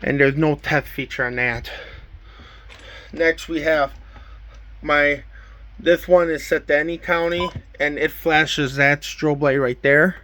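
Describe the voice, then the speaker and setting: Slightly high-voiced man giving casual show-and-tell. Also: steady tone, slow, pauses often, sharp tone, a little hiss or hum. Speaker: collector presenting a weather radio collection.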